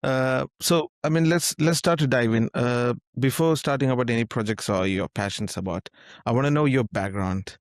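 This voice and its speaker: A man's voice: medium pitch, normal speed, no echo, studio quality. A young adult man hosting a podcast interview in an informal, friendly way with frequent fillers. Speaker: podcast host.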